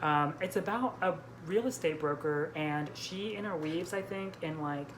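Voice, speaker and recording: Low-pitched woman chatting casually about books. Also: normal speed, background noise. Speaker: book vlog host. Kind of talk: casual book chat.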